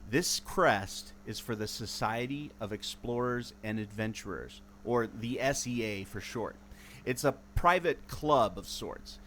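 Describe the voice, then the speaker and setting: A man's voice: medium pitch, expressive, a little slow, a bit bright. A man playing a resourceful, know-it-all former mariner who explains things emphatically in a radio adventure. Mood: intrigued, secretive. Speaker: podcast host acting in audio drama. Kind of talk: amateur radio adventure acting. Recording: noticeable ambient background noise.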